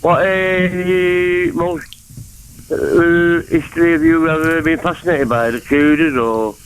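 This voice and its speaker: A man's voice: on the high side, steady tone, slow pace, slight background noise, low-quality recording. A man with a Mancunian accent rambling from topic to topic on a phone-in call. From England. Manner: rambling, jumps between topics. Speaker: caller.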